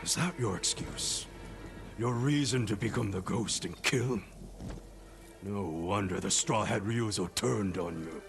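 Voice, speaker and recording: Gravelly, defiant male villain. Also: fairly low pitch, unhurried. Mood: defiant, taunting. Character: defiant, taunting male villain facing a samurai. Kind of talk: dramatic video game acting. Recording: music behind the dialogue.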